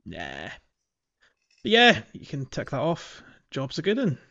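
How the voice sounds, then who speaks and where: A man's voice: a little high, wildly swooping pitch, measured pace, bright, forceful tone, lo-fi sound. A man hosting a casual gaming podcast, chatting playfully through a game walkthrough. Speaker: podcast host.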